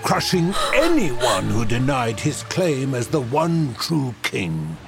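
An actor playing an ancient, grave warlock mentor in an audio drama, telling dark history in a portentous, deliberate way. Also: male voice, medium pitch, sing-song, creaky, loud music behind the voice, heavily acted. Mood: grim.

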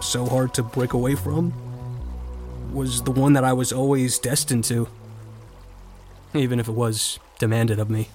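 A man's voice: mid-range voice, flat delivery, a little slow, pauses often, darkish tone, close to an everyday voice. An actor playing a privileged, cocky American college freshman in an audio drama, speaking and narrating in a casual, dry, self-assured way. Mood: reflective. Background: music bed.

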